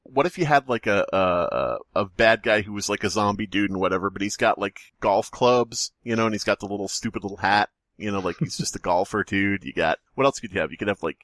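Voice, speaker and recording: A man co-hosting a podcast, chatting casually about video games in an excitable, riffing, sweary way. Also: lowish voice, a little fast, hardly any pauses, dry recording, studio quality. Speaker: podcast co-host.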